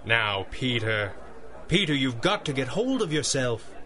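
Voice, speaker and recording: A man playing a steady, reassuring gentleman friend in 1930s-style radio drama, soothing and earnest. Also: medium pitch, a little slow, faint music behind. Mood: consoling.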